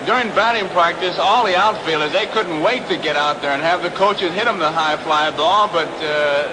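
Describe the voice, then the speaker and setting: Noisy vintage radio, high-voiced American male commentator. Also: normal speed. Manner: chatty, explanatory, addressing his booth partner. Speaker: baseball color commentator. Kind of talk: conversational analysis on live radio. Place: outdoor ballpark broadcast. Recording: very noisy 1960s radio broadcast recording.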